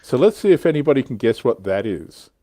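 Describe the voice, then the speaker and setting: A man's voice: medium pitch, very animated, a little fast, warm tone, dry recording. A man hosting a casual, jokey hobby livestream, chatting and reading out viewer comments. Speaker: livestream host.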